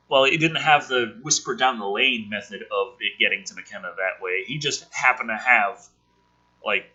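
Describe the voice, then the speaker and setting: A man's voice: mid-range voice, dull audio. A man reading a film script dramatically as a video host, breaking off for casual, sweary, sardonic commentary. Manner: theatrical, animated delivery.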